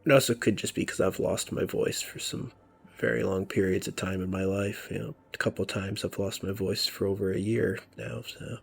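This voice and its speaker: A man giving casual, rambling commentary over a video game, musing about himself and often dropping to a soft near-whisper. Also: low voice, little pitch movement, a little fast, creaky, a little hiss or hum. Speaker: let's play commentator.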